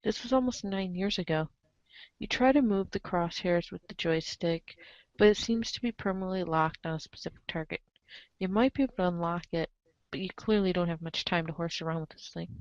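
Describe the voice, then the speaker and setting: A woman narrating a comic story aloud in an expressive read-aloud style, on a slightly dull recording. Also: on the low side, brisk, dry recording. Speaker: narrator of a group webcomic reading.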